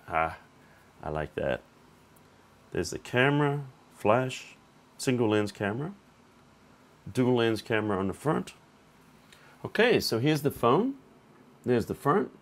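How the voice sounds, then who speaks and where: A man with a slightly hoarse voice hosting a casual unboxing vlog, thinking aloud in an informal, conversational way. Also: fairly low pitch, big pitch swings, takes it slowly, pauses often. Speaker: tech reviewer and channel host.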